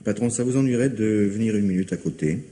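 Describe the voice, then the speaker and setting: An actor playing a brisk, deferential police subordinate in a vintage French radio drama. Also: male voice, fairly low pitch, dark tone, lightly acted. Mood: businesslike. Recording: faintly noisy old radio recording.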